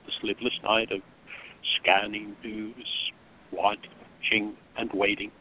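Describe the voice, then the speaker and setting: A man's voice: lowish voice, level tone, takes it slowly. A man as a solemn phone-toned mystery narrator. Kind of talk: scripted radio drama narration. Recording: thin phone-like audio, faint noise.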